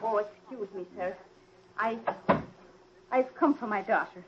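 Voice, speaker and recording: A woman's voice: mid-range voice, a little slow, slight background noise, lightly acted. An actress playing a grieving, accusing mother in an emotional 1940s radio drama style. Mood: grief-stricken. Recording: muffled old-time radio recording.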